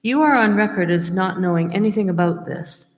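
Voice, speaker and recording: A woman moderating a candidates meeting, reading audience questions in a measured way. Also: lowish voice. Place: church hall. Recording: long reverb of a hall.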